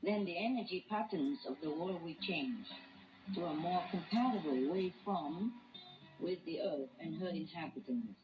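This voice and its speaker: A woman's voice: lowish voice, lively intonation, moderate pace, highs cut off. A woman delivering a scripted spoken message on a shortwave radio broadcast. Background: guitar music.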